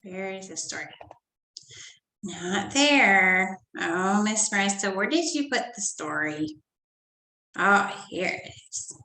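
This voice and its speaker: A woman teacher reading a storybook aloud to first graders in a sing-song, animated way, often dropping to soft whispered asides. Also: mid-range voice, takes it slowly. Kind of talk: storybook read-aloud lesson for young children. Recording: echoey room.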